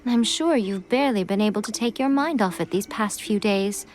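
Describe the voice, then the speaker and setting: A woman voicing a poised, knowing, gently teasing shrine priestess in a video game cutscene. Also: mid-range voice, normal speed, close to an everyday voice.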